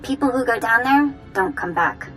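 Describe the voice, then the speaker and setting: A woman's voice: fairly high pitch, animated, normal speed, a bit bright, soft background music. A woman acting as a tough, wary underworld local in a sci-fi video game, blunt and dramatic. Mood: scornful warning.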